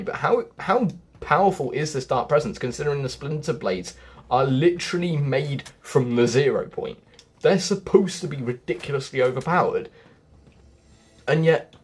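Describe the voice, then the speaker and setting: A man's voice: medium pitch, husky. A man giving casual, explanatory gameplay commentary as the host of a gaming video, with chatty asides. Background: background music.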